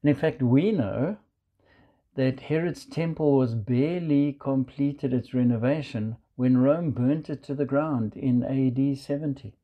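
A man with a South African accent, preaching a measured sermon and quoting scripture. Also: medium pitch, dull audio. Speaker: pastor.

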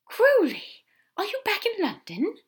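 A woman voicing a gentle, fussy male angel in audiobook dialogue, prim, courteous and a little hesitant. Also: a little high, big pitch swings, measured pace, husky, pitched above the performer's own voice, heavily acted. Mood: surprised, polite.

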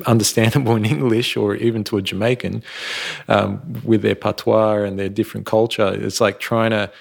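Low, gravelly man. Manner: relaxed, rambling, laughs often. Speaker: sound engineer guest. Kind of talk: casual interview talk.

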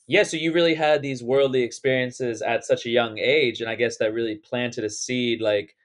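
A man's voice: mid-range voice, steady tone, moderate pace. A young man hosting a casual podcast interview, laid-back and slangy, rambling loosely as he asks questions. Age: young adult. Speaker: podcast host.

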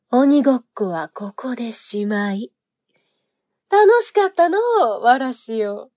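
A woman's voice: a little high, dark tone, dry recording, clean studio sound, heavily acted. An actress playing a sinister, seductive woman agent in a period audio drama, taunting in courtly archaic phrasing. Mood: playful taunting. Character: sinister seductive noblewoman agent, archaic speech, mocking, menacing.

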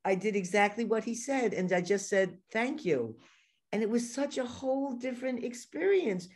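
A woman's voice: on the high side, expressive, normal speed, darkish tone. A woman with a New York accent hosting a podcast, telling stories in a chatty way and laughing often. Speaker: podcast host. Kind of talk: casual interview conversation.

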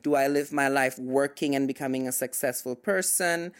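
A man's voice: mid-range voice, normal speed, smooth. A man in his thirties, a native German speaker, chatting casually and slipping into English phrases. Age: 30s. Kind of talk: casual conversation.

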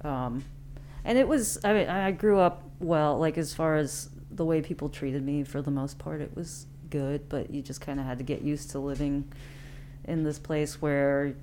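An American woman in her thirties with a notably low voice tells personal stories as an interview guest. She speaks informally, thinking aloud as she goes. There is a faint hum in the recording. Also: normal speed, dark tone. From Independence, Missouri, United States. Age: late 30s. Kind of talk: casual chat, personal storytelling.

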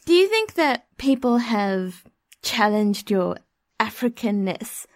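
A woman co-hosting a casual interview, asking questions and responding conversationally in a creaky voice. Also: a little high, big pitch swings, slow, no echo, clean studio sound. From Zimbabwe. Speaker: interviewer, co-host.

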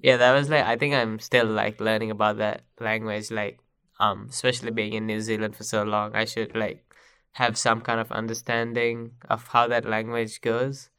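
This young man from Sri Lanka, a native Sinhalese speaker, talks in a relaxed, filler-heavy way on a student podcast. His voice is bright and crisply clear, with a fairly flat, even delivery. It sounds dry and close, with no room around it. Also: on the low side, normal speed, dry recording. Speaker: guest. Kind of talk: casual podcast chat.

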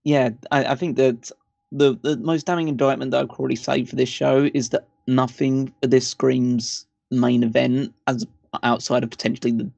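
A man co-hosting a casual podcast chat, his voice croaky from shouting, with lots of ums and uhs. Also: medium pitch, steady tone, moderate pace, no echo, dull audio. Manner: hesitant, many ums and uhs. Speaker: podcast co-host.

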